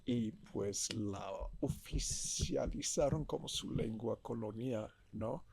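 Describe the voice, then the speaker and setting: An older man with a gravelly, rough edge to his voice and a faint hiss of noise behind him. He rambles through a relaxed interview, catching himself mid-word and tagging his points with little questions to the listener. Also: medium pitch, takes it slowly. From Puerto Rican family, born in Texas. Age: 70s. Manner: rambling, tag questions, frequent self-corrections. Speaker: guest artist. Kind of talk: casual interview conversation.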